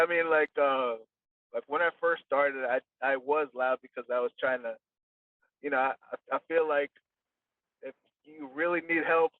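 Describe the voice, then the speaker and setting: Quiet, halting man on a tinny line. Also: mid-range voice, dry recording. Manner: quiet, soft-spoken, halting with many fillers. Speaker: boxing coach, guest. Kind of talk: casual podcast interview. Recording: tinny phone-like line from far off.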